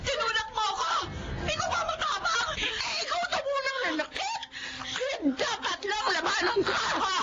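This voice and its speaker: An actress playing a brash, hot-tempered female market vendor, loud and combative, in a radio drama. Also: extremely high pitch, lively intonation, normal speed. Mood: furious. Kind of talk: Tagalog radio drama acting. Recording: muffled lo-fi audio, loud noise.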